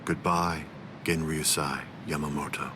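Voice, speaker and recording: An actor playing a calm, menacing villain swordsman in an anime video game, speaking in a measured, formal, condescending way. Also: very deep voice, very noisy. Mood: smug, calm. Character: calm, menacing male villain swordsman, coldly superior schemer. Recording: loud music behind the voice. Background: music.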